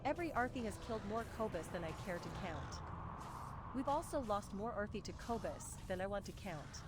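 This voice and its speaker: A woman's voice: medium pitch, animated, fairly quick, natural voice. A woman voicing a proud, headstrong female warrior in fantasy game quest dialogue, emphatic and defiant. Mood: grim. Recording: loud game music behind.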